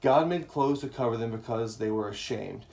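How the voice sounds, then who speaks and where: A man's voice: mid-range voice, moderate pace, vocal fry, mellow. A man hosting a family livestream, chatting casually and reading a bedtime story in an informal, explanatory way.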